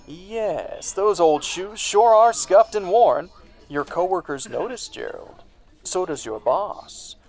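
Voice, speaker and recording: A sinister radio pitchman acted by a man with wildly animated intonation. He sells and taunts in the same sly, insinuating tone, like an advertisement turned menacing in an audio play. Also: slow pace, soft background music, heavily acted. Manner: salesman-like, insinuating. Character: sinister radio announcer voice, slyly taunting and tempting. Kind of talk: radio advertisement parody acting.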